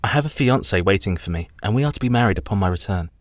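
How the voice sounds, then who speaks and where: Radio play lead: male actor as courteous young solicitor, earnest and precise, lowish voice, dry close sound. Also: animated, rapid-fire, dry recording, close to an everyday voice. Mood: deferential.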